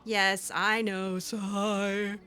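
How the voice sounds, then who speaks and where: A woman improvising in-character dialogue as she plays a roleplaying game, halting and repeating words. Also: medium pitch, little pitch movement, pushed and bright.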